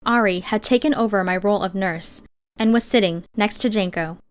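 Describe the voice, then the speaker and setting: A woman's voice: mid-range voice, brisk, clear, resonant tone. Woman narrating a fiction audiobook. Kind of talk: scripted first-person fiction narration.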